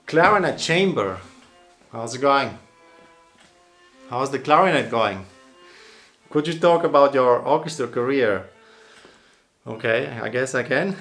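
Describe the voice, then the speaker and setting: A man's voice: mid-range voice, a bit bright. A man from Bulgaria, an oboist, answering viewer questions casually, thoughtful and rambling, with many restarts and fillers. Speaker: oboist and channel host.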